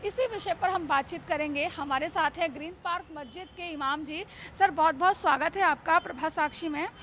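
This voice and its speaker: Very high-pitched woman news reporter, bright voice. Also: brisk, a little hiss or hum. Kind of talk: news field reporting and interview.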